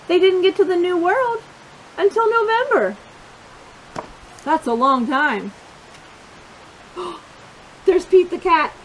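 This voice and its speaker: A woman reading a picture book aloud for young children, expressive and encouraging, pausing to put questions to her listeners. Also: extremely high pitch, slight background noise, poor audio. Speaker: children's storytime reader.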